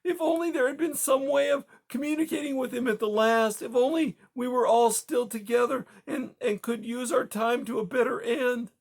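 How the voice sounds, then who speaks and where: A man's voice: very high-pitched, steady tone, moderate pace, gravelly, hardly any pauses, pitched above the performer's own voice, exaggerated voice. Man doing a sobbing distraught woman. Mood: anguished. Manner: breathless, stammering, rising to hysteria. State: crying. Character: grieving distraught woman, tearful and pleading.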